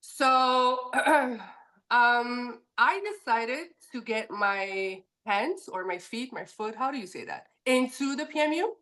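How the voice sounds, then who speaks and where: Lively French-Canadian woman, fairly high voice. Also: slow. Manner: lively, chatty, laughs easily. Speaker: podcast guest, permanent makeup educator. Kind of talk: podcast interview.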